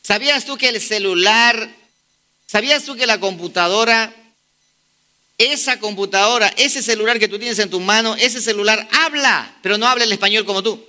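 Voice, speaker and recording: A man preaches with a high, very bright voice that cuts through. He drives his points home with repeated rhetorical questions to the congregation, speeding up and slowing down for emphasis. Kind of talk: sermon.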